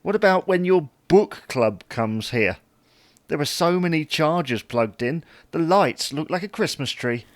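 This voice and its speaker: A man's voice: on the high side, expressive, measured pace, dry recording. A British man playing an easygoing, slightly hapless husband, mildly defensive, in an audio sitcom. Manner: mild, querying, gently defensive. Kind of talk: audio sitcom acting, domestic dialogue.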